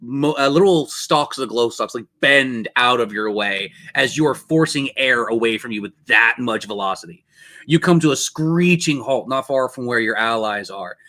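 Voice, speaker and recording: A man's voice: medium pitch, animated, moderate pace, sharp tone. A man improvising dramatic, descriptive narration as game master of a tabletop roleplaying game.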